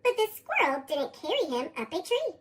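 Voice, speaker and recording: Very high chipmunk-effect American storybook narrator. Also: normal speed. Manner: animated, expressive. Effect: sped-up chipmunk voice effect. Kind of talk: scripted children's read-aloud narration.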